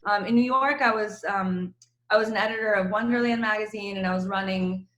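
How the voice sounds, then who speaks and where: A woman's voice: mid-range voice, fairly even intonation, a little fast, fairly bright tone, roomy sound. A woman in her thirties from Croatia, an author chatting in an interview with a self-mocking, laughing manner. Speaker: author guest. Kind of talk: casual interview chat.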